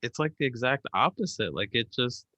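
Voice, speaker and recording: A man hosting a casual interview, easygoing and quick to sound impressed, using casual slang. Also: medium pitch, animated, bright, forceful tone. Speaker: host.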